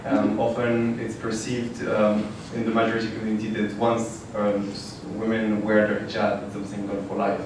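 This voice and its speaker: A man on a panel adding a comment, speaking slowly with many fillers. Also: lowish voice, monotone. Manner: slow, hesitant, many fillers. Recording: echoey room from far off with noise.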